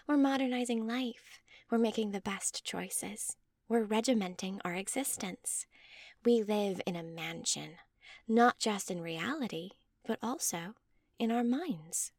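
A woman voicing a devoted, eager-to-please mother in a story, gushing and persuasive, with breathy emphasis. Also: medium pitch, measured pace, darkish tone, dry recording, voice pushed up. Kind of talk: scripted story dialogue.

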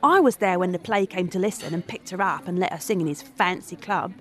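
A woman's voice: fairly low pitch, brisk, a bit bright, close to an everyday voice. A woman playing a brash, tough young woman who narrates, blunt and coarse-tongued. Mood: bitter. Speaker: narrator and character. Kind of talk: radio drama acting and narration.

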